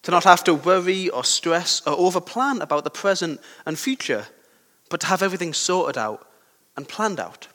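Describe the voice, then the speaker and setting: A young man with a sore throat and a slightly croaky voice, giving a conversational sermon with personal stories and questions to his listeners. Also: high-pitched, lively intonation, moderate pace, pushed and bright. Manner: conversational, self-deprecating, asks the listeners questions. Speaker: preacher. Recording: long hall reverb.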